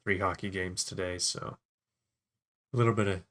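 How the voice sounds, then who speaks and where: A man hosting a casual fly tying livestream, a little raspy from yelling, chatting easily while setting up his gear. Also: low voice, fairly even intonation, normal speed.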